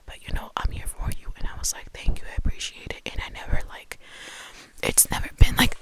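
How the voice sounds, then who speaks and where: A young woman whispering a casual, profane storytime at home, full of "like" and quick quoted asides. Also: rough recording. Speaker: vlogger.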